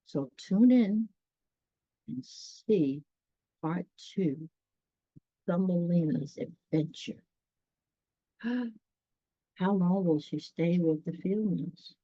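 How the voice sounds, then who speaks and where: Slow-paced woman storyteller with dramatic pauses. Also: fairly low pitch, lively intonation, warm tone, no room sound. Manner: dramatic pauses, gasps, talks directly to listeners. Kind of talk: children's story read-aloud with chatty asides. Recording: dull, dry lo-fi sound.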